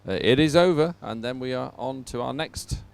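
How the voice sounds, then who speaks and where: A man's voice: medium pitch, normal speed, creaky, smooth. A man presenting live coverage of a sporting event to viewers, talking as a broadcast host.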